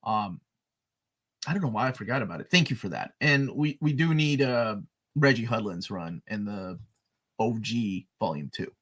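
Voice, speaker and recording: A man's voice: fairly low pitch, moderate pace. A man co-hosting a live comics talk stream, reading chat questions aloud and chatting casually. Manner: animated, reads chat questions aloud, laughs often. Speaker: co-host of a comics show.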